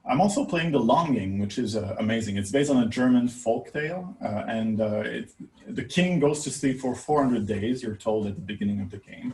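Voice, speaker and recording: A man's voice: medium pitch, a little mellow. A male researcher presenting a conference paper in a hesitant, wryly emphatic way, recorded in a roomy space away from the microphone. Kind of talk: conference talk. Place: indoor room, recorded for a virtual conference. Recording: roomy echo, distant microphone.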